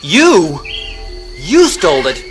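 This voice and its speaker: A high, squeaky male sidekick who bursts out in disbelief and drags his words out slowly. He sits in a studio radio play with a music score playing beneath him. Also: huge pitch swings, heavily acted. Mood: incredulous.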